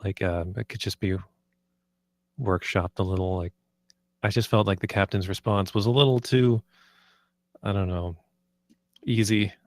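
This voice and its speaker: A man giving polite, casual feedback, talking in a measured and tentative way. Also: lowish voice, steady tone, a little mellow, dry recording. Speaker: voice actor giving script feedback.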